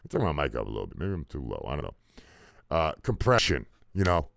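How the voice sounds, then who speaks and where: A man with a deep voice, talking loosely through a music mixing tutorial with fillers and casual swearing. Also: very animated, fast talker, creaky. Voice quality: deep voice, muffled by a faulty mic input. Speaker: music producer teaching mixing. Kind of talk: casual screen-recorded tutorial. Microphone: desktop mic into recording software.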